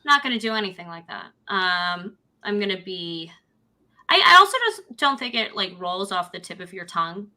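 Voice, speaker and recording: A woman with a New York accent chats as a podcast guest, blunt and prone to repeating herself. Her voice is very bright and sharp, at a middle pitch. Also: big pitch swings, normal speed. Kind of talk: casual chat.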